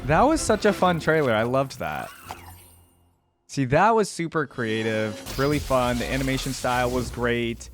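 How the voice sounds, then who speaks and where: A man's voice: mid-range voice, animated, normal speed, soft background music. A man giving enthusiastic, informal reaction commentary as the host of a fan channel.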